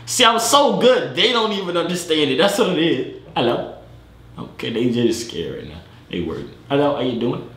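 A young man hosts a comedy vlog from a bedroom studio, talking to the camera at arm's length. He swings between a put-on, high-pitched British voice and a lower, laid-back drawl. His voice rises and falls a lot, and the room gives it a clear echo. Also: very animated, normal speed, a bit bright. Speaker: comedy video host.

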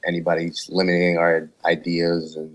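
A man with a very low voice and little melody talks casually as a guest artist. His phrasing is halting and slangy. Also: completely flat delivery. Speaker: podcast guest, music artist. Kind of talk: casual chat.

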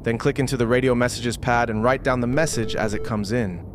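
Man, medium pitch, scripted instructional narration, measured delivery, noticeable humming background noise. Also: fairly even intonation. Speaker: tutorial narrator.

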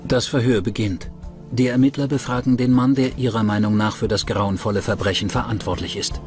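A man's voice: on the low side, fairly even intonation, a little fast, darkish tone. A man reading scripted narration for a true-crime documentary. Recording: music under the voice. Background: music.

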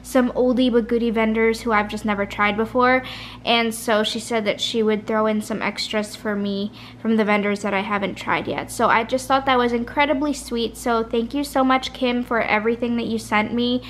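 Woman vlogger, chatty rambler, tired and soft-voiced, faint hum behind. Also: fairly high pitch, fairly even intonation, moderate pace. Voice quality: soft, a little raspy. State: tired, late at night. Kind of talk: casual chat. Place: kitchen at home. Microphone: camera at a kitchen table.

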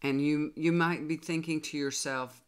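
A middle-aged woman, a tarot reader, speaking slowly and earnestly to her webcam from a small room at home. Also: sits low, steady tone, clean tone. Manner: slow, earnest and solemn. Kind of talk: direct-to-camera spiritual message.

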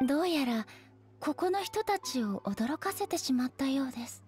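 A woman playing a composed, aloof deity ruler in an anime game, speaking formally and in a measured way. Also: high-pitched, lively intonation, darkish tone, soft background music. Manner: formal, measured polite speech. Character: composed, dignified female deity ruler, aloof and literal-minded.

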